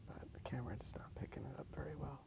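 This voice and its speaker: A man whispering softly in a breathy, gravelly voice, rambling casually and a little hesitantly in an ASMR style. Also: mid-range voice, fairly even intonation, fast talker. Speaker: ASMR video creator. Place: outdoors in a park.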